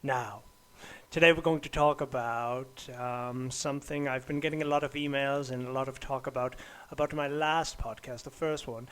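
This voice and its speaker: A man with an affected, unconvincing English accent hosts a comedic podcast monologue in a pompous, halting way, often stammering and repeating words. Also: medium pitch, fairly even intonation, a bit bright, clean tone. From United States. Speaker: podcast host.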